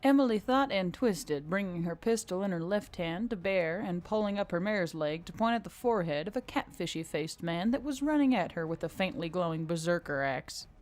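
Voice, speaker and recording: A woman's voice: fairly low pitch, expressive, normal speed, hardly any pauses, darkish tone. A woman reading scripted action-fantasy fiction as an audiobook narrator.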